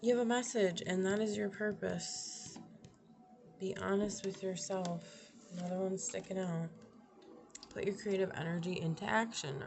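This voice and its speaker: A young woman with a slightly raspy, lowish voice, musing through a tarot spread in a casual, thinking-aloud way. She trails off and restarts often. A steady hiss of background noise sits under her voice. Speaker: tarot reader. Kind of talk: casual tarot reading to camera.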